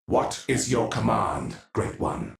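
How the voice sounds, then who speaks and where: A man's voice: medium pitch, lively intonation, slow pace. A man voicing a dutiful, grim ancient machine lieutenant in a video game, formal and subservient. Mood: deferential, grim. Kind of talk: dramatic video game cutscene acting. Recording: echoey voice under clear music.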